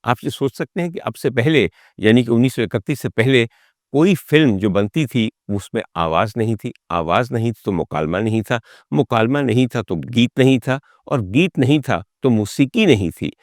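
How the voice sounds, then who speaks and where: A man hosting a talk, telling stories dramatically and rhetorically, with deliberate build-ups and repeated phrases. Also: medium pitch, expressive, a little mellow, no echo, studio quality. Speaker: host and storyteller of a music history talk. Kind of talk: dramatic spoken storytelling to the audience.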